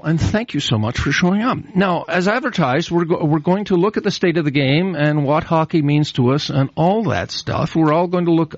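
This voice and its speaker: A man hosting a radio panel discussion in a studio, speaking in a measured way with frequent fillers. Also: a little high, gravelly, no room sound. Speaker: radio show host. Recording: muffled radio studio sound.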